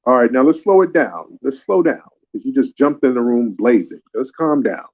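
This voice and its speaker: A man runs a live call-in panel, steering callers in a brisk, commanding way. His voice sits at an ordinary middle pitch. The sound is dull and lo-fi, like a streamed call. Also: expressive, a little mellow. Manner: brisk, calls people up and scolds them to slow down. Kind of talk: casual moderating.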